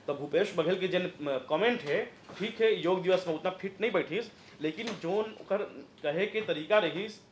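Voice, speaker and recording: Male political commentator, 30s, Chhattisgarhi accent, higher voice, halting explanations, roomy studio. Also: slight background noise. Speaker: news presenter and political analyst. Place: indoor news studio, roomy sound. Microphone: lapel mic.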